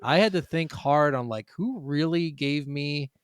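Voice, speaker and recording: A man's voice: on the high side, unhurried, clear, resonant tone, no echo, studio quality. A man co-hosting a podcast in casual banter about video games, rambling, joking and swearing freely. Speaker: podcast co-host.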